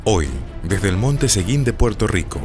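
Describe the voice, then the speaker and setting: A man's voice: lowish voice, animated, normal speed. A man presenting a TV program in scripted documentary narration. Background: music.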